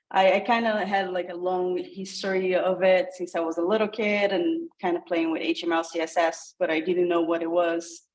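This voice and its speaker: A woman with a Brazilian accent and a slightly low voice gives a casual self-introduction on a group call. Portuguese is her first language, and she talks informally as a participant. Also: little pitch movement, normal speed, low-quality recording. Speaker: coding program participant.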